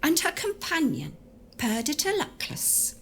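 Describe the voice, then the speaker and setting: A woman playing a haughty, brisk English widow, bossy and formal, in an audio drama. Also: sits high, wildly swooping pitch, vocal fry, fairly bright tone, a little hiss or hum, full character voice.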